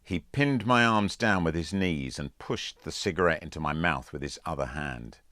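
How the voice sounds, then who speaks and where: A man's voice: very deep voice, expressive, normal speed, a bit bright, no room sound. A man reading a scripted first-person story as an audiobook narrator. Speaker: author reading own audiobook.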